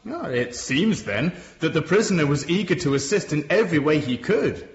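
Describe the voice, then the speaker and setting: A man's voice: fairly high pitch, animated, slight background noise, close to an everyday voice. An actor playing a courteous, formal male Victorian defence barrister in a radio courtroom drama. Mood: reassuring. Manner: formal, measured questioning. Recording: roomy, slightly muffled courtroom sound.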